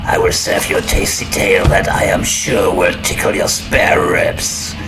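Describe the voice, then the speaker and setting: A macabre old crypt storyteller, relishing his tale in a horror radio play. He is deep and unhurried, with mock-sinister menace, set back in echo under prominent music. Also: fairly even intonation. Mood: gleefully sinister. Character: gleefully ghoulish old horror host, punning storyteller. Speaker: narrator. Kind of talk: theatrical horror anthology narration. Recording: roomy echo, distant, loud music underneath.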